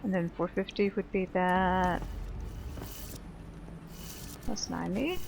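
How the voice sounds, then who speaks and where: Slow-paced woman casually narrating her gameplay. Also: lowish voice, creaky, darkish tone, a little hiss or hum. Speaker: video game player and host of a playthrough. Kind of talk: casual gameplay commentary, thinking aloud.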